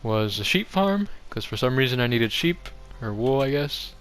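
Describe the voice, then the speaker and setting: A man's voice: mid-range voice, big pitch swings, normal speed, fairly bright tone. A young man giving a casual, offhand game tour commentary, rambling along in a self-deprecating way. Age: young adult. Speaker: gaming video host. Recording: music behind the voice. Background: background music.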